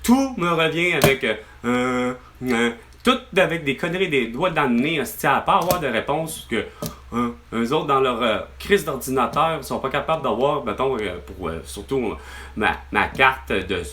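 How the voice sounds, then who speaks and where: A man with a Québécois accent rants in a long, rambling stream, stopping and restarting and swearing as he goes. His voice is bright and sharp, at an ordinary pitch. A faint noise sits under it. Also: lively intonation, moderate pace. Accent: Québécois French. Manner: rambling, exasperated, swears often. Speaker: vlogger. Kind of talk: venting on a phone call with his mother.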